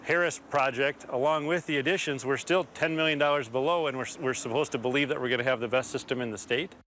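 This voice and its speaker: A man's voice: medium pitch, fairly even intonation, fast, a bit bright, a little hiss or hum. A man speaking as a fire chief in a news interview, plain-spoken and frustrated.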